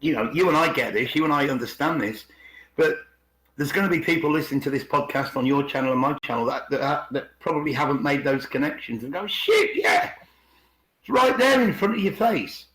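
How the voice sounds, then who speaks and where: A man's voice: a little high, animated, brisk, a bit bright. Echoey man explaining things on a podcast. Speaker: podcast co-host. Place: indoors on an online group call. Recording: roomy, echoing online call.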